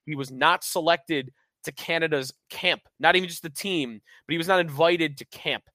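A man's voice: on the high side, normal speed, pushed and bright, no room sound. A man hosting a sports podcast in a chatty, upbeat radio-style monologue, his voice strained from a cold. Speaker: podcast host.

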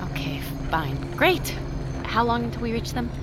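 An actress playing an exhausted American traveler, blunt, impatient and urgent. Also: female voice, fairly high pitch, very animated, normal speed. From United States. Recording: noisy ambience behind the voice.

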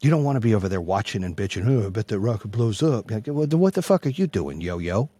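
A man giving a brash, profane, emphatic motivational monologue in a husky voice, repeating phrases for punch. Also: lowish voice, very animated, fast talker, mellow, no echo. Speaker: motivational speaker and host.

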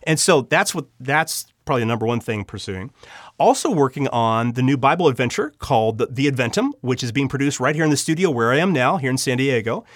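A man giving a personal testimony as an interview guest, earnest and halting, often restarting his sentences. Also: mid-range voice, lively intonation, no echo, studio quality. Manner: earnest, halting with repeated false starts. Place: recording studio.

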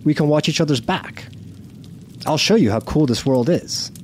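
A man playing a seasoned, weary gamer who narrates a survival adventure as a scripted first-person audio drama, in a measured, intense way. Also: a little high, slight background noise, natural voice. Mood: reassuring, explaining. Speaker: narrator and lead character.

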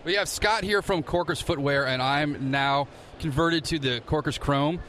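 Chatty man interviewing, slightly high. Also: fairly bright tone, a little hiss or hum. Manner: friendly, chatty, quick questions. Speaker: interviewer and podcast host. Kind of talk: casual interview.

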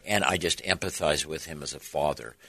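A middle-aged man with a big booming voice, a guest telling stories in a casual chat, animated and halting with many restarts. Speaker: podcast guest, veteran TV reporter.

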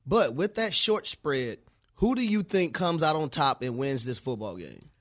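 A man's voice: fairly high pitch, unhurried, no room sound, studio quality. A man co-hosting a sports radio show, joking around and asking the guest questions. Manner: joking, animated storyteller. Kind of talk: casual sports radio banter.

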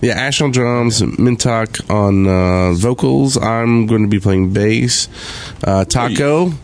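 A man's voice: lowish voice, slow pace. A man hosting a casual group podcast recorded live at a convention. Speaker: podcast host. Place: indoor convention, live before an audience.

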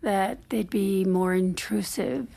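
A woman's voice: medium pitch, slow pace, creaky, dark tone, husky, exaggerated voice. An actress in an audio drama playing a guarded, evasive married woman who speaks hesitantly and deflects. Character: guarded, evasive married woman in therapy, curt when pushed. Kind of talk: naturalistic audio drama acting.

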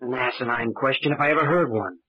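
A man's voice: medium pitch, fast talker, no echo, lightly acted. Actor as a peevish young college man. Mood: sarcastic. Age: young adult. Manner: peevish, defensive. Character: defensive, sarcastic male college student. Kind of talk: old-time radio mystery acting. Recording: muffled, slightly noisy old radio recording.

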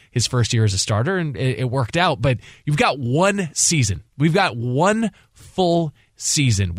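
A man's voice: mid-range voice, no room sound, clean studio sound. A man co-hosting a sports radio show, reasoning through his analysis off the cuff and repeating phrases for emphasis. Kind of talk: unscripted sports talk analysis. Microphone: close studio broadcast microphone.

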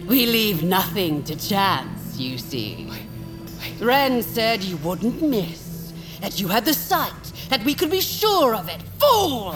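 A woman playing a menacing vulture interrogator in an audio drama, speaking deliberately and theatrically with cold threat. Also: medium pitch, big pitch swings, music in the background. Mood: stern, scornful. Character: menacing vulture lord, interrogator and assassin hunter.

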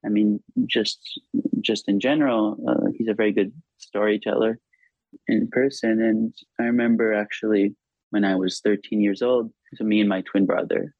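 A man's voice: fairly low pitch, fairly even intonation, darkish tone, dry recording. A man whose first language is Hebrew, an author talking reflectively in an interview with many restarts. The recording sounds dull and lo-fi. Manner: halting, thoughtful, frequent restarts.

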